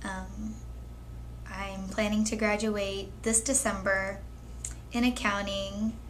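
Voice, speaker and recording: A 22-year-old woman speaking in a measured, thoughtful way as a young mother sharing her story. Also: mid-range voice, level tone, a bit bright, a little hiss or hum, rough recording. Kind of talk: candid personal testimony.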